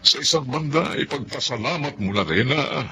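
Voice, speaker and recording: An actor playing a protective, disapproving middle-aged Filipino father in a radio drama, with a gravelly voice and halting, sighing counsel. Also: medium pitch, lively intonation, close to an everyday voice. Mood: consoling.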